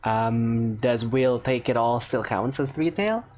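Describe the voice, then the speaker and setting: Very deep-voiced woman gamer, casual. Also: slow pace, darkish tone, slight background noise. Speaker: gamer playing and talking along. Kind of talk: casual gameplay commentary, improvised replies.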